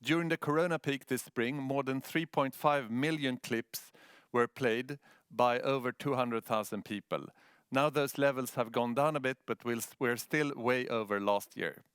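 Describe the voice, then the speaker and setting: A man with a Swedish accent gives a prepared presentation in a clean, smooth voice of middling pitch. The sound is close and dry, with no room on it. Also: moderate pace, fairly bright tone, no room sound. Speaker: news editor presenting a project.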